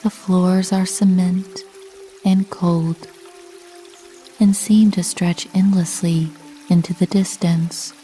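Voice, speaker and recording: A woman with a soft, mellow tone reads a guided sleep meditation. She speaks calmly and soothingly at a very unhurried pace, leaving long pauses. Music plays underneath, along with running water. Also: on the low side. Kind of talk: scripted sleep meditation narration, bedtime story.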